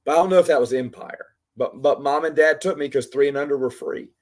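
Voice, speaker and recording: A man in his forties, hoarse from losing his voice, rambling in casual chat as host of a live Q&A and answering commenters by name. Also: on the high side, quick pace. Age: 40s. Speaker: livestream host.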